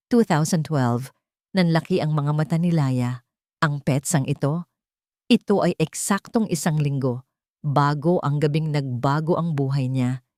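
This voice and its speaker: A Filipino woman narrates a scripted love story with measured, dramatic delivery. Her voice sits somewhat low, and the recording is very dry and close, with hardly any room sound. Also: dark tone, no room sound.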